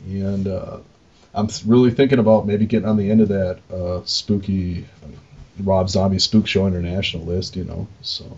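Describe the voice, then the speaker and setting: A man's voice: sits low, flat delivery, moderate pace, darkish tone, highs cut off. An American man co-hosting a podcast in casual chat, rambling along in a jokey, self-deprecating way. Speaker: podcast co-host.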